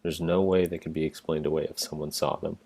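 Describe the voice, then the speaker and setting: A man's voice: a bass voice, level tone, fast, gravelly, darkish tone, husky. A man narrating a staged play reading, reading out the stage directions as scripted narration.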